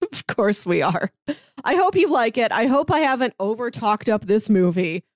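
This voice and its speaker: A woman's voice: on the high side, animated, normal speed, no room sound, clean studio sound. A woman podcast co-host talking casually and enthusiastically, in a chatty way. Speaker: podcast co-host pitching movies. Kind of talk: casual chat.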